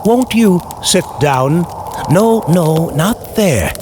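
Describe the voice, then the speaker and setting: A man playing a sinister horror host in old-time radio style, theatrical and menacing, with breathy drawn-out phrases over music. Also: high voice, lively intonation, noisy background, pitched above the performer's own voice, full character voice. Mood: menacing, inviting.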